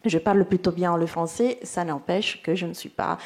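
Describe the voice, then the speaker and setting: Woman, Italian accent, thirties, lowish pitch, panel talk, hesitant, lots of "euh". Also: normal speed, a little mellow. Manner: hesitant, many fillers and restarts. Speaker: literary agent for illustrators. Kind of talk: round-table panel answer.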